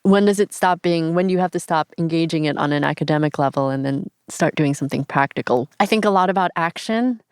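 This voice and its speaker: A woman with a very smooth, clear voice sits slightly low in pitch. She muses thoughtfully and poses questions in reflective discussion, recorded dry. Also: fast, few pauses, dry recording.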